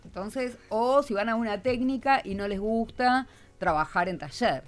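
A woman's voice: fairly high pitch, normal speed, faint music behind. A middle-aged woman with a Buenos Aires accent, interviewing on the radio in an informal, didactic, advice-giving way. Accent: Buenos Aires Argentine Spanish. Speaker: radio host.

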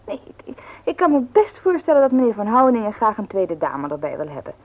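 An actress playing a strong-willed, self-justifying wife in a radio play, insistent and exasperated. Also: female voice, mid-range voice, very animated, fast talker. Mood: breezy, justifying. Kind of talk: Dutch radio play acting. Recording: thin phone-like old radio recording.

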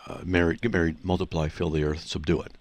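A man's voice: low voice, flat delivery, fast talker, no room sound. A man hosting a call-in radio show, explaining scripture to callers in an assertive, teaching manner. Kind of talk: call-in radio Bible answers.

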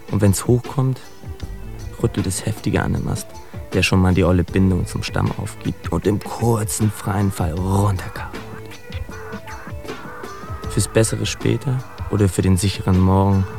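Radio play: world-weary, bitter man, low gravelly voice, brooding dramatic monologue. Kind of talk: literary spoken-word radio drama monologue. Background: loud music.

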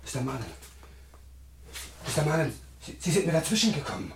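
An actor playing a nervous, bossy, excitable criminal in a 1960 German radio play. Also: male voice, fairly high pitch, brisk, a little hiss or hum. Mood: horrified. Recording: roomy, distant old radio recording.

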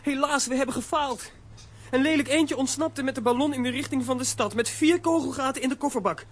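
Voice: sits high, a little fast. An actor playing a brave, earnest young boy detective in a children's audio drama. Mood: urgent, dutiful.

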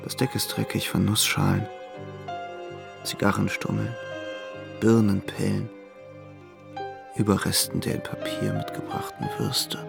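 Creaky-voiced dreamy young man. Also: lowish voice, level tone, measured pace, long pauses, music in the background. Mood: languid, bored. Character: dreamy young man recalling his journey, quietly infatuated. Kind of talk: literary radio play, present-tense first-person narration.

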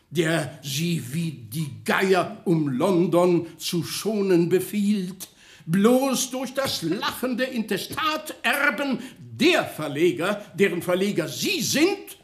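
An actor playing an officious, mocking official who declaims a grandiloquent satirical speech in a radio play. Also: male voice, sits high, a bit bright, full character voice. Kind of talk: German radio play acting. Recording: roomy, echoing radio studio sound.

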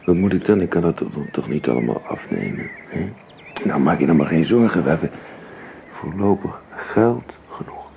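A man playing a teasing, relaxed newlywed husband in an intimate radio drama, with a drowsy, wry murmur. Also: low voice, moderate pace, vocal fry. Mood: evasive, reassuring. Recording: muffled, hissy old radio recording.

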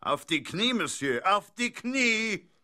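An imperious professor in a radio drama. His voice is bright and forceful at a middle pitch. He speaks formally and pompously, issuing orders with indignation. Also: sing-song, slow, heavily acted. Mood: indignant, demanding. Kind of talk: German radio play acting.

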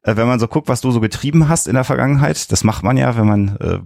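A man's voice: mid-range voice, fast talker, creaky, a little mellow, no echo, studio quality. A man hosting a conversational podcast interview, asking wordy, circling questions with self-deprecating asides.

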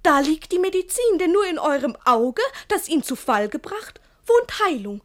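Woman putting on an old go-between woman, high-pitched, emphatic, wheedling, pitying, rhymed radio play. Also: sing-song, normal speed. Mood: coaxing. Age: older. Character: pitying old matchmaking woman, insistent and flattering. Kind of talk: classic German radio play in rhymed verse.

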